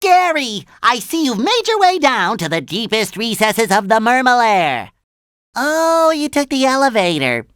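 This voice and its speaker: A man voicing an excitable, naive, cheerful young cartoon sea sponge in a video game, with a squeaky nasal voice and eager, childlike earnestness. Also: a little slow, clean tone, no room sound, clean studio sound, exaggerated voice. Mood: chatty, eager. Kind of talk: animated video game voice lines.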